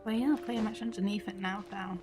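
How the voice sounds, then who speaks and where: A woman's voice: medium pitch, fairly even intonation, fairly quick, over music. A woman game streamer giving casual, chatty live commentary, rambling along with her train of thought.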